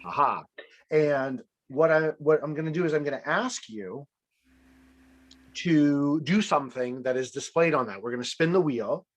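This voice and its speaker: A man's voice: fairly high pitch, normal speed. A man hosting a casual game show over a video call, playful and teasing, with rambling restarts. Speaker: host.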